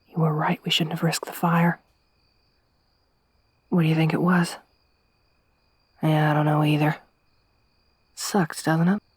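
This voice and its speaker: A woman's voice: deep voice, husky, no room sound, heavily acted. A woman playing a wry, tough survivor girl. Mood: hushed. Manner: soft-spoken, wry, dry humor; hushed whispering when hiding. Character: tough young woman survivor around thirty. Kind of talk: ASMR roleplay.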